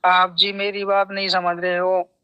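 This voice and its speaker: A man's voice: sits high, monotone, a little slow, bright, forceful tone, smooth, highs cut off. A man calling in to a religious debate, polite but persistent as he pleads to be heard. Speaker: caller, religious disciple. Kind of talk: debate on a phone call.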